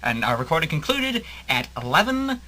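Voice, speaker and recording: A man's voice: a little high, very animated, normal speed, bright, forceful tone, slight background noise. A man chatting casually as a cast member and editor of an amateur audio drama. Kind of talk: casual chat.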